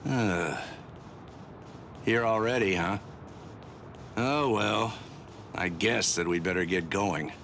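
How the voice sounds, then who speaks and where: An actor playing an easygoing, flirtatious captain in an anime game, with a lazy, teasing manner. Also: male voice, on the low side, slow pace, long pauses, fairly bright tone, slight background noise. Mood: laid-back. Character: easygoing, flirtatious, laid-back male captain. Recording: music behind the voice.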